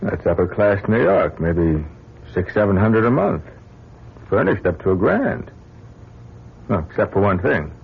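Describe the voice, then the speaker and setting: An actor playing a blunt, wisecracking, skeptical astronaut in a vintage radio drama, with a gravelly, husky voice. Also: male voice, on the low side, big pitch swings, unhurried, long pauses, full character voice. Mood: dryly appraising. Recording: thin, phone-like old radio recording.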